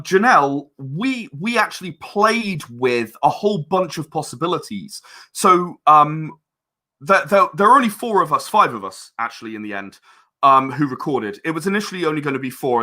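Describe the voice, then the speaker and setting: A man's voice: on the high side, sharp tone. A man being interviewed as an audio drama writer and director, talking conversationally in a reflective, self-deprecating and hesitant way.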